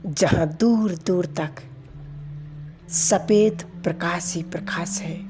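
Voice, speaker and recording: A woman leading a guided meditation commentary in a calm, soft manner with long pauses. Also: on the low side, noisy background. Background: background music.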